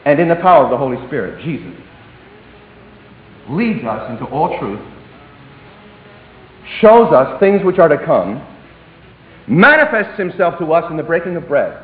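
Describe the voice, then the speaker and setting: Male preacher, high and very animated voice, emphatic with sudden hushed drops, echoey hall. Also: normal speed, long pauses. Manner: emphatic, dramatic swings between loud and hushed delivery. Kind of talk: sermon. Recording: echoey hall, tinny phone-like sound.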